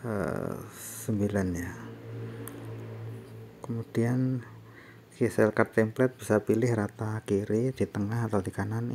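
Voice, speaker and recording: Low, creaky man giving instructions. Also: a little mellow. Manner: halting, instructional, often checking with "ya". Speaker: tutorial presenter. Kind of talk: unscripted step-by-step software walkthrough. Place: voice-over on a screen recording. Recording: faint background noise.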